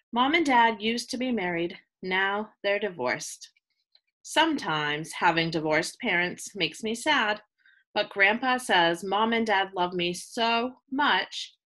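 A woman reading a children's picture book aloud like a teacher, with expressive delivery aimed at young listeners. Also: medium pitch, unhurried. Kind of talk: children's read-aloud, scripted narration.

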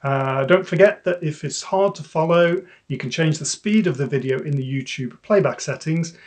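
A British man in his fifties, an English teacher, reciting a poem with careful, deliberate enunciation. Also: fairly high pitch.

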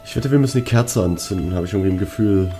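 A man's voice: low-pitched, fast. A man doing casual, laid-back let's play commentary, half talking to himself. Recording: faint game music behind.

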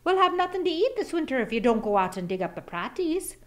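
A woman voicing an exasperated, sharp-tongued Irish farm wife in a storybook read-aloud. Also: high-pitched, sing-song, fast talker, pitched above the performer's own voice.

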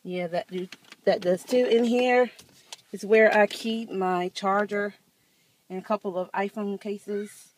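A woman's voice: medium pitch, fairly even intonation, measured pace, slight background noise. A woman in her thirties chatting casually to viewers while filming a tour of her car on a handheld phone, laughing often. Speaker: vlogger. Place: outdoors and inside a parked car. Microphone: handheld phone camera.

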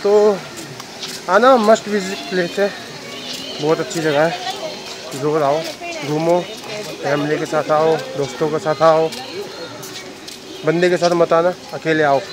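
A man's voice: a little high, steady tone. A young man in his twenties filming a casual travel vlog on a phone at arm's length in a wet cave and forest trail, chatting to viewers and sounding worn out from the climb. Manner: chatty, addresses viewers as guys and bhai. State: tired, out of breath from climbing. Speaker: travel vlogger. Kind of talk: casual selfie vlog narration. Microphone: handheld phone camera at arm's length. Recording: loud rushing water noise behind. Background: waterfall and stream.

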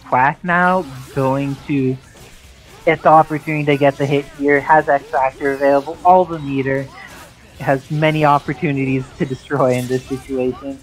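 A man's voice: medium pitch, rough recording. Man commentating over game music. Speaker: esports commentator. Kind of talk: live fighting game play-by-play commentary. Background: game music under the commentary.

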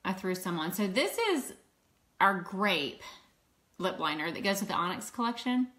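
Chatty woman with a soft Southern accent. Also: medium pitch, normal speed. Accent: mild Southern US. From Louisiana, US. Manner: chatty, greets viewers, laughs often, excitable. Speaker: makeup seller hosting a livestream. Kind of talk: casual livestream chat. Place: indoors at home, at a table. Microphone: phone camera close up.

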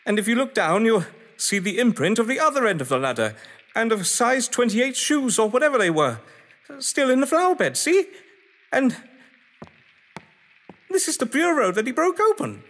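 A man's voice: extremely high pitch, sing-song, moderate pace, pauses often, fairly bright tone, heavily acted. A man acting in an audio drama as a haughty, games-obsessed writer, eloquent, grandiose and sardonic. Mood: rattled, pleading. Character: haughty, theatrical games-obsessed male writer, defensive under suspicion. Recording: long echoing hall reverb.

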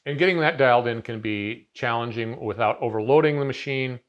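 A man's voice: mid-range voice, a little fast, highs cut off. A man in his fifties explaining a CNC machine in casual conversation, talking in a loose, rambling way with many fillers and restarts, seated in a large garage workshop.

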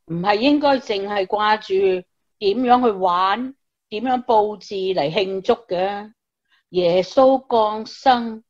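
A woman plays a devout, frank friend in a Christmas radio drama, earnest and encouraging. She speaks deliberately at a medium pitch with a Hong Kong Cantonese accent, on a dull recording. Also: a little mellow. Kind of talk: Christmas radio play dialogue.

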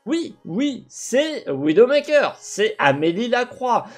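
Young male gaming host, lively intonation, halting casual commentary over faint music. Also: very high voice. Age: young adult. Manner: animated, halting, asks himself questions. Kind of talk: casual speculative commentary to viewers. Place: indoor gaming setup.

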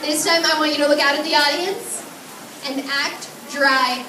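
A woman hosting a live outdoor theme park show as its director, lively and upbeat, directing a volunteer and cast. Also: high-pitched, normal speed. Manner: energetic, upbeat, directing the crowd. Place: outdoor theme park stage by a water tank. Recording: distant echoey PA, loud crowd and noise.

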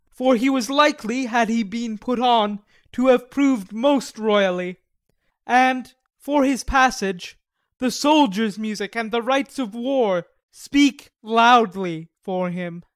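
A man's voice: extremely high pitch, slow, a bit bright, no echo, heavily acted. A man acting a grave young conquering prince in a classical tragedy, with stately, commanding declamation. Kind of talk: classical stage tragedy, dramatic audiobook reading.

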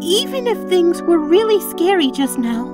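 A woman playing a sweet, timid young reindeer girl with a nervous, gentle manner in a video game fandub. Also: extremely high pitch, sing-song, normal speed. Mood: cheerful, shy. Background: clear music.

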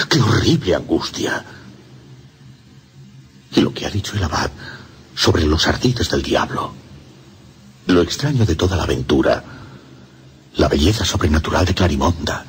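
Casting: anguished lovesick priest, Castilian Spanish, extremely low voice, swooping dramatic intonation, radio play. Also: male voice, expressive, a little slow, pauses often, a little hiss or hum. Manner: brooding, dramatic, impassioned delivery. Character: tormented lovesick young priest confessing his torment. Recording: music under the voice. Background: music.

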